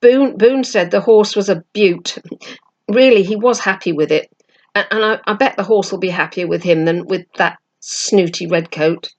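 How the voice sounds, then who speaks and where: A woman voicing an excitable schoolboy in a storybook, eager and stumbling over words. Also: mid-range voice, normal speed, muffled recording, close to an everyday voice. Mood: eager, chatty.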